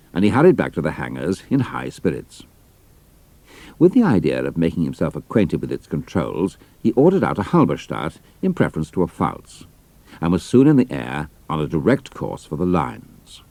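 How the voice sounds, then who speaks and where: Deep, gravelly British male narrator. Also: huge pitch swings, moderate pace, dark tone, dry recording. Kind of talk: scripted adventure audiobook narration.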